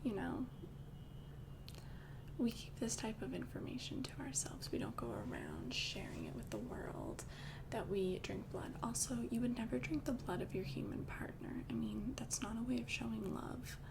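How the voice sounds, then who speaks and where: A young woman voicing a calm, doting female vampire girlfriend in an ASMR roleplay, speaking in soft, intimate whispers close to the mic. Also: on the high side, moderate pace, creaky. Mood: soothing. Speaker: ASMR creator.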